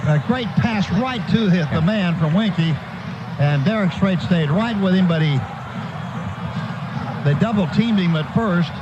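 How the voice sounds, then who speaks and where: A man with a high-set voice calls a football game live on the radio. He describes the action in short, clipped phrases as it happens. The broadcast has a clearly noisy stadium sound. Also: animated, measured pace, pauses often, lo-fi sound. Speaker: radio play-by-play announcer.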